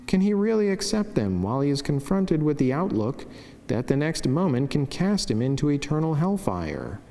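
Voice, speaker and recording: A man gives a solemn, measured, formal reading of a scripted religious devotion. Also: medium pitch, mellow. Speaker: religious narrator reading a saint's life and devotional meditation. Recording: long cavernous reverb.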